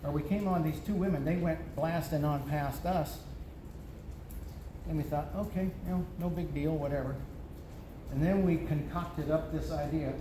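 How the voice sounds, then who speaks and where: An older man giving a plainspoken eulogy for an old friend, reminiscing at a memorial gathering. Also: fairly high pitch. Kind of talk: memorial remembrance. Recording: echoey room with background noise.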